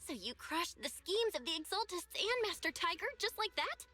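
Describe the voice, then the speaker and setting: High, admiring young disciple. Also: female voice, big pitch swings, moderate pace. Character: admiring cheerful young female junior disciple. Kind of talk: anime game voice acting.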